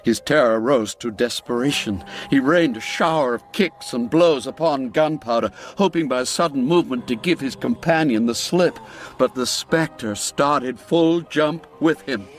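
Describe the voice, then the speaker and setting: A man narrating a classic ghost story as a dramatic reading, measured and full of deliberate pauses. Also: medium pitch, soft background music.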